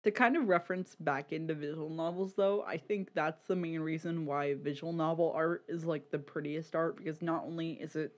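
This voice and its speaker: A podcast co-host chatting casually about media in a rambling, halting, sweary way, often repeating words. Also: medium pitch, steady tone. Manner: informal, sweary.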